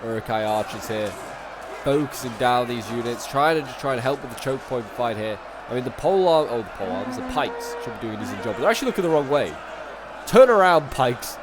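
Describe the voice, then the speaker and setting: Guy with a stuffy cold doing chill game commentary, gets hyped at big moments, mid-pitched voice, noisy background. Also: brisk, a crowd in the background. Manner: chatty, with sudden excited outbursts at big plays. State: sniffly, coming down with a cold. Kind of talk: casual strategy-game play-by-play commentary.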